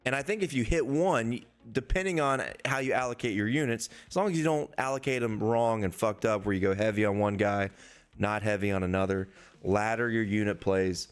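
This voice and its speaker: A hoarse man, a young adult, hosting a casual sports-betting preview and swearing freely in a loose, rambling way. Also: lowish voice, a little fast. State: hoarse, losing his voice. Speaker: sports betting podcast host.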